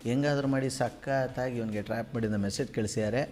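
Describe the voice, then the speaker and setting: A man's voice: medium pitch, steady tone, fast talker, a little mellow, slight background noise. A man with a Mysuru Kannada accent, a radio host making prank calls in colloquial, playfully earnest banter over music. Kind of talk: prank phone call banter. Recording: music bed under the voice.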